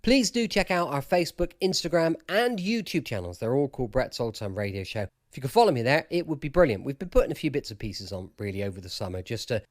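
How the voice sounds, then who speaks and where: A man's voice: mid-range voice, animated, fast talker, few pauses, no echo, studio quality. A British man hosting a podcast in a chatty, emphatic, casual style. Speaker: podcast host.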